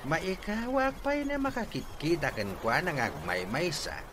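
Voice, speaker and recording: A man's voice: fairly high pitch, big pitch swings, a little slow. A man with a northern Philippine accent plays a concerned, nagging father in radio comedy drama, exclaiming and admonishing. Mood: exasperated. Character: concerned, nagging father advising young men. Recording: faint background noise.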